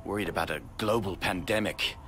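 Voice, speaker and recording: An actor playing a troubled, remorseful scientist in a video game, somber and subdued. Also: male voice, low voice, brisk, sharp tone, slight background noise. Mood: worried. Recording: music under the voice.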